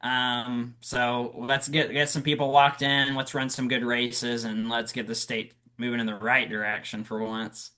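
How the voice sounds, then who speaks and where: A man's voice: mid-range voice, level tone, measured pace, hardly any pauses, pushed and bright, low-quality recording. A man co-hosting a political podcast, plainspoken and direct. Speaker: podcast co-host. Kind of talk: casual podcast chat.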